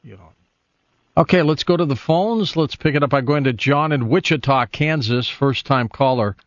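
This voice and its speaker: A man's voice: mid-range voice, normal speed, dry recording. American man hosting call-in radio. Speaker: radio call-in show host. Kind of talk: casual radio conversation. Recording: dull, dry radio broadcast.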